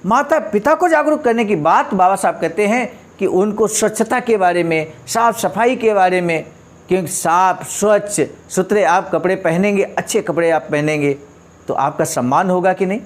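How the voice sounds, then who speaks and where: A man's voice: high-pitched, moderate pace. A man delivering a motivational address, reading out quotations and explaining them in an emphatic, didactic way with rhetorical questions and repeated points. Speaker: speaker explaining a leader's speech.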